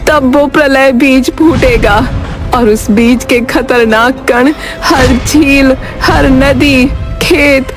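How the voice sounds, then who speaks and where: A woman voicing an old village grandmother in a cartoon story, speaking urgently and prophetically, with halting, repeated words. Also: high-pitched, voice pushed up, exaggerated voice. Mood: fearful, foreboding. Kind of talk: dramatic cartoon story acting. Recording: prominent background music. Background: music.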